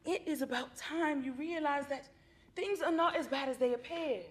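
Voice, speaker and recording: An actress playing a calm, encouraging female therapist who speaks in a measured, reassuring way in a stage play. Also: sits high, lightly acted.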